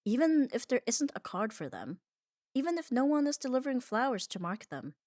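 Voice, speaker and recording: A woman giving a calm, reassuring ASMR comfort monologue in an unhurried, soothing way. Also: high voice, no room sound, clean studio sound. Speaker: ASMR audio creator.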